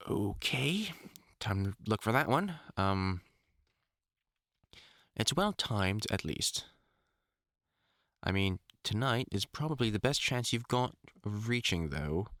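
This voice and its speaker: A man playing a gruff but caring, experienced vampire hunter in an audio roleplay, middle-aged, talking informally with dry, teasing asides. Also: on the low side, very animated, slow, pauses often, fairly bright tone, dry recording, heavily acted. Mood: dry, irritable muttering. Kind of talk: intimate audio roleplay acting.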